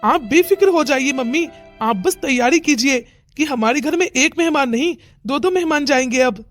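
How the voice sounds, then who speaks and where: High-pitched protective young husband, voiced by a woman. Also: animated, normal speed. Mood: reassuring. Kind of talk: melodramatic Hindi cartoon story dialogue. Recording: music under the voice.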